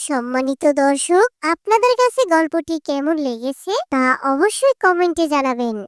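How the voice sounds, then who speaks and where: Woman as a little girl, extremely high voice, earnest, pious, dry cartoon sound. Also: animated, normal speed, dry recording. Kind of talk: animated moral series dialogue.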